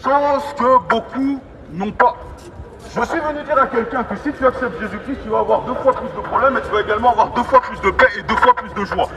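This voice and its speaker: Male street evangelist, high voice, declamatory and repetitive, megaphone heard from far off amid crowd bustle. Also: highs cut off. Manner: declamatory, repetitive, halting restarts. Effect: megaphone amplification. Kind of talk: open-air evangelistic preaching. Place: outdoors in a busy urban public space. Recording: megaphone voice outdoors, distant, echoing, street bustle. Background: street crowd and vehicles.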